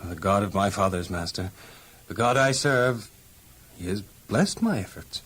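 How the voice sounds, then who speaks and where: An actor playing a humble, devout young household servant in a children's audio Bible drama, deferential, modest and earnest. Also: male voice, fairly low pitch, lively intonation, a little slow, a bit bright, breathy edge, heavily acted.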